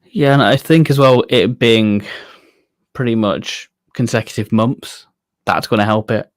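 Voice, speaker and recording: A man's voice: medium pitch, unhurried, dry recording, clean studio sound. A man co-hosting a fan discussion show in a casual, conversational way. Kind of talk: casual chat.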